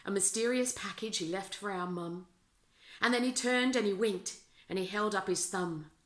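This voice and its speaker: A woman's voice: mid-range voice, moderate pace, a bit bright. Woman storyteller in an echoey room. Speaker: storyteller reading a Christmas poem aloud. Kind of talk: expressive read-aloud of rhyming children's verse. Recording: roomy, echoing indoor sound.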